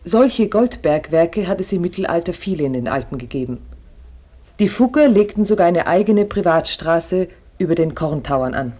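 A woman playing a calm, knowledgeable narrator, delivering scripted narration in a radio play. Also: lowish voice, moderate pace. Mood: measured. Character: calm, knowledgeable female narrator explaining history.

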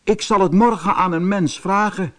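A man's voice: high-pitched, normal speed, voice pushed up, natural voice. Een man die een sluwe, hongerige tijger speelt in een sprookje, vleierig, zelfingenomen en snel ongeduldig. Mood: smug. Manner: wheedling, self-satisfied, impatient when crossed. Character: sly, vain, hungry male tiger, falsely polite. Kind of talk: storybook character voice.

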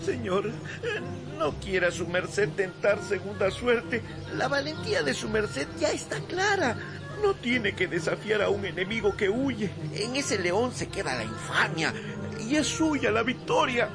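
Timid squire for radio play: high, tearful, deferential, wide swings, music behind. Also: male voice, very animated, measured pace, full character voice. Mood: tearful pleading. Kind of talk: radio drama acting.

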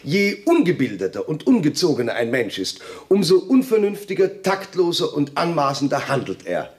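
An actor playing a condescending, pompous gentleman lecturing formally in a radio play. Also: on the high side, huge pitch swings, moderate pace.

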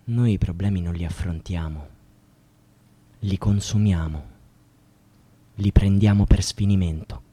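An actor playing an embittered young student in a radio drama, speaking in solemn, rhetorical, litany-like phrases. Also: male voice, low-pitched, a little slow, pauses often, warm tone, slightly rough. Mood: resigned. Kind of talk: theatrical radio drama monologue, litany-like chanting.

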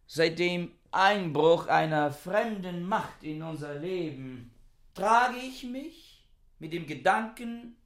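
Pompous male scholar, radio drama, higher-pitched voice, very measured pace, stilted formal oratory, imperious. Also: a bit bright, heavily acted. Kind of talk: radio play acting.

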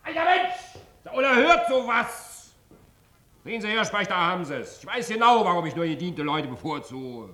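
An actor playing a bossy, militaristic factory manager with a clipped drill-sergeant manner in an old German radio play. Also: male voice, sits high, big pitch swings, normal speed, bright, forceful tone, full character voice. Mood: smug.

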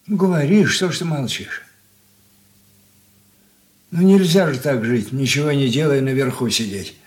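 An older actor playing a stern, bewildered old father in a radio drama, with a weighty, halting manner. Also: medium pitch, close to an everyday voice. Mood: gruff urging. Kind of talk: Soviet radio play acting.

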